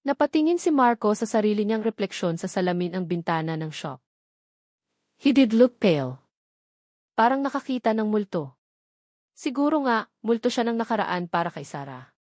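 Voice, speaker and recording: A woman's voice: medium pitch, sing-song, moderate pace, pauses often, darkish tone, no echo. Woman narrating a romance, dry studio sound. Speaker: audiobook narrator. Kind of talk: scripted romantic story narration.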